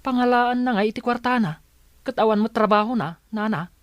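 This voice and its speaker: A man's voice: high-pitched, a little fast, no echo, lightly acted. An actor playing a concerned, persistent young male neighbour in a radio drama, with an earnest, insistent delivery. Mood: gossipy, confiding.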